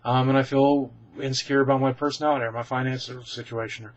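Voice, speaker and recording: A man in a room at home talks straight to his webcam, rambling through viewer questions with candid, self-deprecating humor. His voice sits at an ordinary middle pitch and comes through slightly dull and lo-fi. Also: level tone, fast. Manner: chatty, candid and self-deprecating, with many ums and restarts. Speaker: vlogger answering viewer questions. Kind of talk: casual rambling Q and A.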